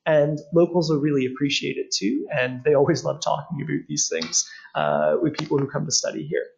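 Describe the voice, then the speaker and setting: A man's voice: on the high side, steady tone, a little fast, gravelly, slightly rough, dull audio. A young man, not Czech by birth, presents a study abroad webinar over slides, with many "uh" fillers and an earnest, explaining tone.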